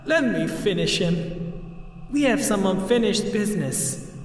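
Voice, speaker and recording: High-pitched vengeful henchman. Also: expressive. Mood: menacing. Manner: menacing, drawn-out taunts. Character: vengeful male henchman with a grudge. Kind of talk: video game cutscene acting. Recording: echoing hall, faint noise.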